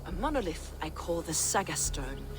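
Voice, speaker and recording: An actress playing a serene, slyly curious heretic mystic in a video game, with reverent, archaic, measured speech. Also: female voice, on the low side, big pitch swings, noisy background. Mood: sly, intrigued. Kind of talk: video game cutscene acting.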